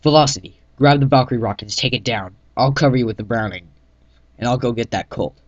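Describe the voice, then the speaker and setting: A man voicing a brave pony soldier giving urgent, forceful battlefield orders in an amateur fan fiction reading. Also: mid-range voice, quick pace, pitched above the performer's own voice, natural voice. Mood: urgent, determined. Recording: dull lo-fi mic in echoey room.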